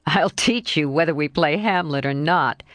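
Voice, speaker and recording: A woman voicing a cheerful, teasing young man in a slightly lowered, coaxing voice. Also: moderate pace, fairly bright tone, no room sound. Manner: lightly lowered, coaxing, playful.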